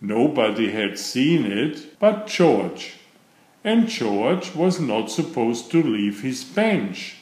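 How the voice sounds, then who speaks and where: A man reading a children's book aloud as narrator, with expressive, deliberate phrasing. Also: medium pitch. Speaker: storybook reader. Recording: roomy, echoing sound.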